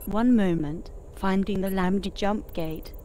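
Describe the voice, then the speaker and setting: A woman voicing a calm, obliging spaceship computer assistant in a measured, formal way. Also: mid-range voice, a little hiss or hum, close to an everyday voice. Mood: calm, procedural. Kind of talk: scripted game voice assistant prompts.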